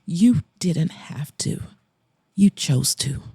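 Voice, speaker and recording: A hurt, bitter woman in a romance audiobook, played by a woman narrator. She is accusing and emotional, with sharp words in a soft dark tone, recorded close and dry. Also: low voice, expressive, slow, breathy edge, no room sound, exaggerated voice. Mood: wounded, demanding. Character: hurt, resentful woman confronting her former lover.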